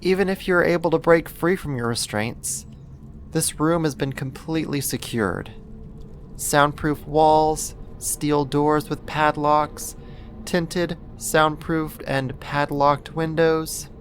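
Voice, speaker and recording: Slow-talking possessive yandere neighbor, acted out. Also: mid-range voice, a little hiss or hum. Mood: threatening, controlling. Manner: coaxing, slow, deliberate, condescending endearments. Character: possessive yandere neighbor kidnapper, sweet yet menacing. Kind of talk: ASMR roleplay, intimate scripted monologue.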